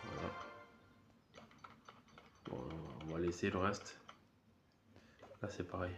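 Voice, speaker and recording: A man with a cold giving relaxed, rambling commentary while playing a mobile strategy game, with many fillers and restarts. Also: sits low, takes it slowly, vocal fry, echoey room, over music. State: has a cold. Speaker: gaming channel host. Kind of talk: casual gameplay commentary.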